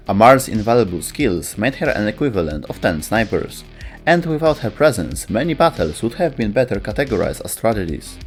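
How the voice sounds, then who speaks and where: A man reading scripted narration as the host and narrator of a gaming lore video. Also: lowish voice. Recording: music behind the voice. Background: background music.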